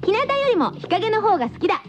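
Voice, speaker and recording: A woman co-hosting a lively radio variety show, teasing and quick to laugh. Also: very high-pitched. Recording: muffled radio recording over music.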